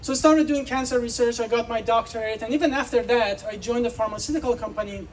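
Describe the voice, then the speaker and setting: A man's voice: very high voice, fast. Man from Iran, persuasive festival speech, distant mic, faint background noise. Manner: earnest, persuasive, addresses crowd with questions. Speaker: speaker. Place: outdoor festival.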